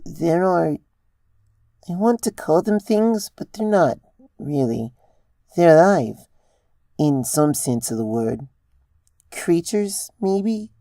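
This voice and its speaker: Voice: medium pitch, big pitch swings, slow pace, vocal fry, pauses often, mellow, no room sound, clean studio sound, pitched above the performer's own voice, exaggerated voice. A narrator voicing an adult female pony, a mother who confides in a hesitant, earnest way, in a story reading. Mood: hesitant, confiding.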